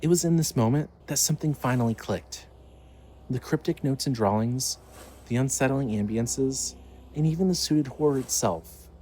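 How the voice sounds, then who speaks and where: A man's voice: mid-range voice, normal speed, warm tone. A man narrating a scripted horror story as its storyteller. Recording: clearly noisy ambient background.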